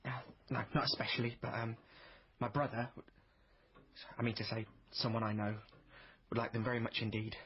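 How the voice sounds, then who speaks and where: A man's voice: mid-range voice, flat delivery, normal speed, close to an everyday voice. Inquisitive, hesitant youth played by a man. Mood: awkward. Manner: hesitant, earnest, curious. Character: inquisitive, awkward thirteen-year-old boy, newly found heir. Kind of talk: radio drama acting. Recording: dull, noisy radio drama recording.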